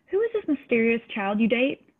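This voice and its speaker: A woman's voice: a little high, very animated, brisk, slightly rough. A woman playing a peppy, teasing nineteen-year-old gossip of a little sister at a table read. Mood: scandalized, teasing. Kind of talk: table-read acting over video call. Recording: tinny, band-limited call audio.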